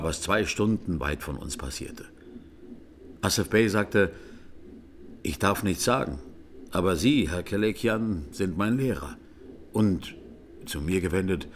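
A man's low voice a grave clergyman on the witness stand in a radio play. He recounts things solemnly and deliberately, with audible ambience behind him. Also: lively intonation, slight background noise. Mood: grave, shaken. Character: grave Armenian clergyman testifying as a court witness. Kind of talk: radio drama courtroom testimony.

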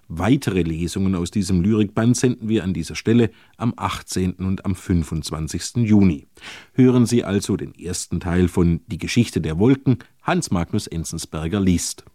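A man with a very deep, mellow voice introduces a literary reading on the radio. His delivery is scripted, formal and measured, recorded dry and close. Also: no room sound.

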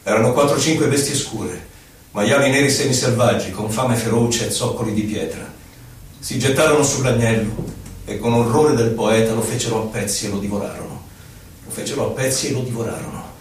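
A man gives a solemn, theatrical poetry recitation, declaiming in drawn-out phrases. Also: medium pitch, slight background noise. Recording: very echoey, distant stage recording with music. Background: prominent violin music.

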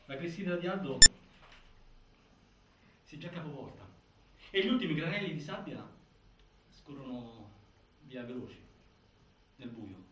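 Casting: male actor as grave stage narrator, mid-pitched, deliberate segmented phrasing, heavily reverberant room. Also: natural voice. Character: grave male narrator laying out a prison-death inquiry. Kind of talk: dramatic scripted stage narration. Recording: very echoey, distant, faint noise.

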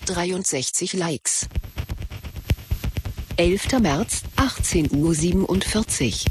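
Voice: mid-range voice, lively intonation, slow, background noise. An announcer in a radio drama reading out short scripted entries in a formal announcing style. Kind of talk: scripted announcing of dates and like counts.

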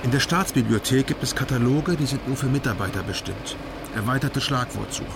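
Brief: actor as reserved investigator, plain matter-of-fact voice, car noise behind. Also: male voice, mid-range voice, fairly quick, close to an everyday voice. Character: reserved, precise male investigator. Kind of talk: radio drama acting.

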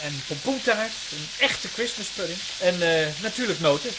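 A man's voice: sits high, expressive, normal speed, heavy background noise. Sardonic, grumbling male cook. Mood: sarcastic. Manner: sarcastic, grumbling. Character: sardonic, put-upon home cook at Christmas. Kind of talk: radio drama acting.

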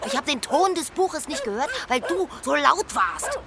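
A woman's voice: high-pitched, very animated, moderate pace, noisy background. A woman acting a disappointed, cutting lover in a radio drama quarrel, blunt and scornful.

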